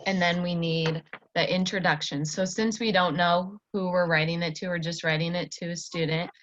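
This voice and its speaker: An American woman teaching students informally, explaining step by step in a halting way. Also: fairly low pitch, steady tone, a bit bright. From Iowa, United States. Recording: dull lo-fi audio with faint noise.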